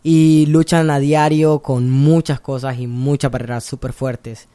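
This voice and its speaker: A man from Honduras leads an interview with a warm, mellow voice. The sound is dry and close. He chimes in often with short agreements and speaks with emphatic conviction. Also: mid-range voice, steady tone, a little slow, clean tone, dry recording. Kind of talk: conversational interview.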